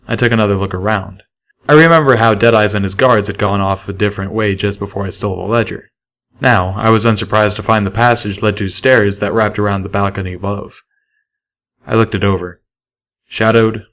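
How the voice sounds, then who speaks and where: A man reads first-person fiction as an audiobook narrator. His voice sits on the low side, and the delivery is scripted storytelling. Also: fairly even intonation, brisk, fairly bright tone. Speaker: audiobook reader.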